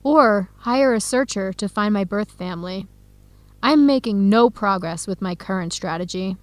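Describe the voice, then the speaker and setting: A woman playing an earnest young Ethiopian-woman in an educational radio drama, speaking clearly and deliberately. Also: mid-range voice, animated, dry recording, natural voice. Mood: discouraged.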